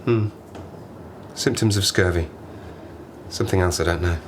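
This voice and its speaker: An actor playing a stranded Arctic survivor in an audio play, a sardonic, haunted man who talks haltingly and rambles in agitation. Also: deep voice, close to an everyday voice. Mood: wry, rambling. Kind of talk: dramatic audio play monologue. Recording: noisy ambience behind the voice.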